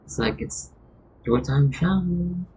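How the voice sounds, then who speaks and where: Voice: medium pitch, takes it slowly, dark tone. A game streamer talking casually in live commentary while playing. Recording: dull lo-fi mic, faint background noise. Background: video game audio.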